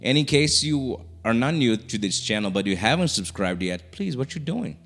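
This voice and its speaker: A man's voice: mid-range voice, expressive. A young man from Brazil with a Brazilian Portuguese accent, speaking English as a second language. He talks casually to camera and often restarts his sentences. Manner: halting, many restarts and fillers. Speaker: YouTuber. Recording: soft music underneath.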